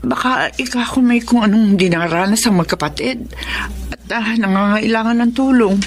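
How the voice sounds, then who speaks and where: A woman's voice: fairly high pitch, normal speed, natural voice. Wistful, hesitant Filipino woman acting. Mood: worried, wistful. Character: kindly, anxious older sister, grown woman. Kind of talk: Filipino radio drama acting.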